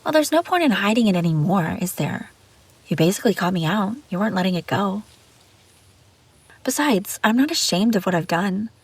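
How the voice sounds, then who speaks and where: A woman's voice: medium pitch, animated, moderate pace, slightly rough, dry recording. A woman playing an obsessive, scheming young babysitter in an intimate audio roleplay, pleading and defensive. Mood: confessing, insistent. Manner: pleading, defensive, hesitant then insistent. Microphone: close, dry microphone.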